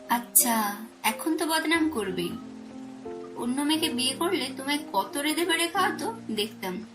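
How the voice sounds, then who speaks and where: An actress as a young Bengali wife in a romantic audio drama. Her voice sits fairly high, playful and full of affection. Music plays clearly behind her. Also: expressive, normal speed. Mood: playful teasing. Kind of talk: romantic audio story acting. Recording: music under the voice. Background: background music.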